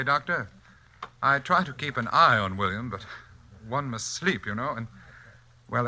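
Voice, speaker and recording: An actor playing a calm, polite, condescending and murderous hypnotist in 1940s radio suspense style. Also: male voice, mid-range voice, no room sound. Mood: polite, composed. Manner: soft-spoken, polite, composed, condescending.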